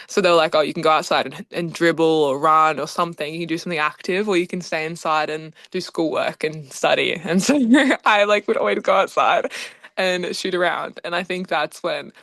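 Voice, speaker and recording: A woman's voice: low-pitched, moderate pace, fairly bright tone, dry recording. A seventeen-year-old girl who grew up in Australia with Hungarian as her first language, chatting upbeat in an interview and filling her answers with "like". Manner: upbeat, chatty, lots of "like" and "oh my goodness", laughs often. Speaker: basketball player, interview guest.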